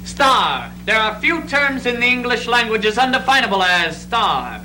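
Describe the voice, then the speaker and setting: A man's voice: very high voice, sing-song, moderate pace, background noise. A young man delivering emphatic, declamatory narration in a readers theatre show. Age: young adult.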